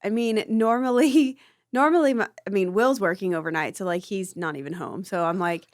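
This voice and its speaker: A woman's voice: medium pitch, moderate pace, no echo. Chatty laughing woman, dry sound. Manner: chatty, laughs easily, rambling with many "like"s. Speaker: podcast co-host, mother. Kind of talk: casual chat.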